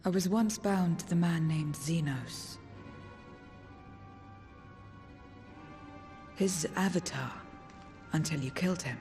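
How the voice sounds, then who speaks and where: A woman's voice: sits low, normal speed, a little mellow, natural voice. A woman voicing an aloof, world-weary female void creature in a video game cutscene, speaking in solemn, terse phrases. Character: aloof, world-weary female voidsent returned to true form. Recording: music behind.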